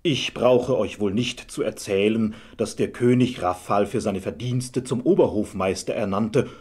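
A man with a husky voice narrating a scripted fairy tale as a storyteller. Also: on the low side, measured pace, a little mellow.

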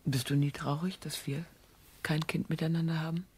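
A woman acting a tender, anxious wife and mother in a German radio play, with a husky, breathy voice. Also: low voice, fairly even intonation, moderate pace, a little mellow. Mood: hushed, confiding.

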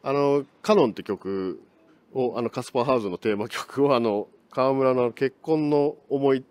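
A middle-aged film director answering interview questions, speaking quickly with many fillers. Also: male voice, mid-range voice, darkish tone, no echo.

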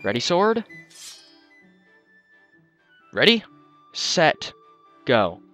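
A man's voice: fairly high pitch, very animated, extremely slow, sharp tone. A man on a headset commenting on a video game, reading on-screen dialogue aloud with stumbles and jokes. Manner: joking, stumbles and restarts while reading. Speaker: let's play commentator. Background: game music.

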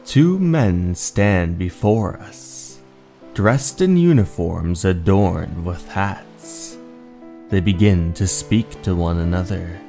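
A man narrating a scripted horror story in a measured, theatrical, dramatic way. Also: low-pitched, lively intonation, gravelly, mellow. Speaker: narrator, channel host. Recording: music behind the voice.

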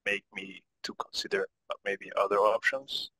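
A man's voice: lowish voice, little pitch movement, normal speed, sharp tone, breathy edge, rough recording. A man speaking as a student, putting a question in an online class over a video call.

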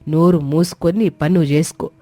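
Woman acting a scheming, scornful older mother-in-law, low-voiced. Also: fairly even intonation, fairly quick, dark tone, dry recording. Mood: cold, scornful. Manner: sneering, accusatory, commanding. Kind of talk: dramatised moral-story cartoon dialogue. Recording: faint music behind.

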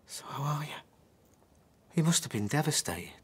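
A man acting a scheming, smooth-talking estranged husband in a naturalistic radio drama. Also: a little high, big pitch swings, normal speed, fairly bright tone, a little hiss or hum. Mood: pleading, soothing.

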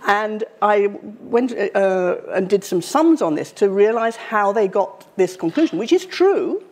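A woman, giving a university public lecture in a conversational, wry way, her voice slightly croaky. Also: mid-range voice, darkish tone. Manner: conversational, wry, with frequent fillers. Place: university lecture hall, indoors.

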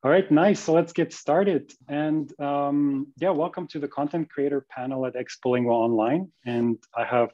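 Man hosting online panel, mellow voice. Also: on the high side, normal speed, few pauses, highs cut off. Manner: upbeat, brief prompts. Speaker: panel host. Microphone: video call.

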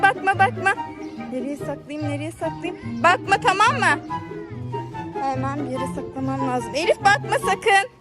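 A woman talking as a mother to her young child in playful casual chat, coaxing with short repeated prompts and questions. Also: extremely high pitch. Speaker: mother hosting a family play video. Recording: music behind the voice. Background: background music.

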